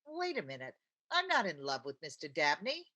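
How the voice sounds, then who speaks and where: An actress playing a wry, scatterbrained housewife with sardonic comic timing in an old-time radio sitcom. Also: female voice, low-pitched, huge pitch swings, moderate pace, bright, forceful tone, heavily acted. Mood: sardonic, indignant.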